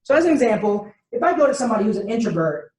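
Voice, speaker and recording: A woman's voice: fairly high pitch, very animated, very fast, darkish tone. Female instructor, patient explainer, distant mic, echoey room. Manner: explains patiently, acting out example dialogue. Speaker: workshop instructor. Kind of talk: interactive teaching session.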